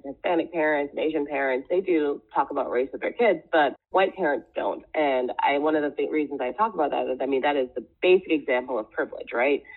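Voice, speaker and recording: A woman from the Caribbean, a guest in an interview, speaking earnestly and emphatically, stacking up point after point. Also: sits low. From Caribbean, Jamaica and Trinidad. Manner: emphatic, building long lists. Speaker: guest, diversity consultant. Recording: tinny phone-like line.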